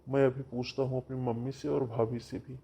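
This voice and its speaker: A man voicing an earnest, loyal young groom who speaks softly and reassuringly in a husky voice. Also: mid-range voice, level tone, a little fast, a little hiss or hum, lightly acted. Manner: soft, reassuring.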